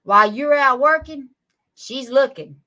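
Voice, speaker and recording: A woman in her forties with a drawl, lecturing critics on a live stream. Her bright, forceful voice stays sarcastic and scolding. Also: sits high, measured pace. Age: 40s. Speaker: livestream guest.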